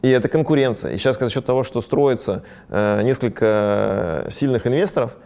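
A man who runs a ski resort business, speaking casually in an interview, talking fast with many fillers. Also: mid-range voice, vocal fry, darkish tone.

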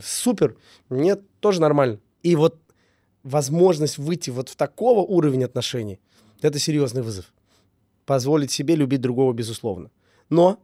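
A man's voice: on the high side, dark tone, no echo, clean studio sound. A man getting over a cold, talking earnestly in a casual interview and repeating key words with many fillers. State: recovering from a cold. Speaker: business owner, guest.

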